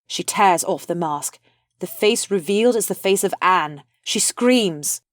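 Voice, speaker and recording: Lower-voiced woman narrator, dry close sound. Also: animated, a little slow, no echo. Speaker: narrator reading stage directions. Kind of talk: scripted narration of a play.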